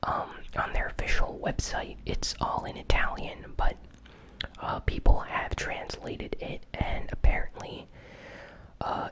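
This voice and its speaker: A host giving a casual gaming news recap in a soft, unvoiced ASMR whisper, with unhurried, hesitant phrasing.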